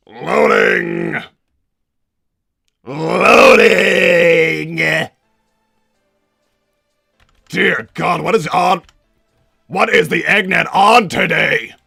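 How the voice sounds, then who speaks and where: A man voicing a bombastic middle-aged mad-scientist villain and doting father, with a raspy, gravelly voice and a theatrical, blustering manner, improvising on a livestream. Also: on the high side, pushed and bright, full character voice. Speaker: livestream host in character. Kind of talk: improvised in-character livestream Q&A, reading out viewer messages.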